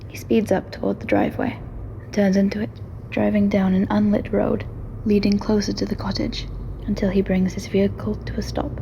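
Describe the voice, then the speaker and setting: A woman's voice: mid-range voice, brisk, vocal fry, warm tone, dull audio, a little hiss or hum. A woman reading scripted story narration for an audio drama.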